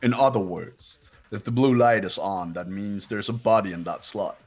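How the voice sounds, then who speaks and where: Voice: low voice, moderate pace, a little mellow, husky, soft background music, voice pushed down. A woman putting on the voice of a stern, matter-of-fact young man.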